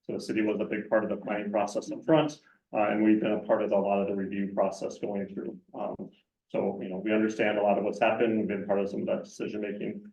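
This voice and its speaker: A man working as a city traffic engineer, briskly introducing his colleagues at a public meeting, with many ums. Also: lowish voice, flat delivery, gravelly, darkish tone. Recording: dull, echoey room sound.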